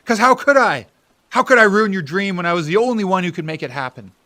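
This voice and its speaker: Male actor as struggling, bitter family man, earnest older brother in radio drama, higher-pitched male voice, dry close sound. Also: expressive, fast, bright, forceful tone, no room sound. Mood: angry, desperate. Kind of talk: audio drama acting.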